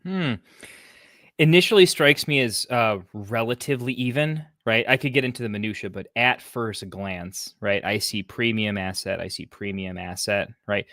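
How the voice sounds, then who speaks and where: Male co-host, lowish voice, halting casual talk, dry close sound. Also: fairly bright tone, no echo. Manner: halting, many fillers and restarts. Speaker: podcast co-host.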